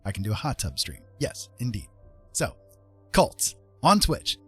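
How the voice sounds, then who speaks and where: A man's voice: medium pitch, huge pitch swings, a little slow, a bit bright, soft background music. A man chatting casually on a livestream in a rambling, playful, self-mocking way.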